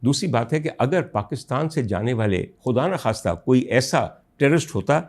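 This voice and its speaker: A man with a Pakistani Urdu accent hosting a political commentary show, delivering a rhetorical monologue to his audience that builds to loud indignant outbursts. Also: mid-range voice, expressive, normal speed. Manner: addresses listeners as ladies and gentlemen.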